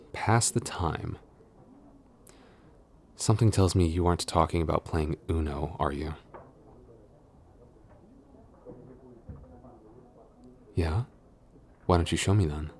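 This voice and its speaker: A man's voice: sits low, normal speed, long pauses, darkish tone, slight background noise. A man playing a flustered tsundere boyfriend and coworker, grumbling but smitten, in an intimate, hesitant, mock-scolding ASMR audio roleplay. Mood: teasing. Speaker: voice actor.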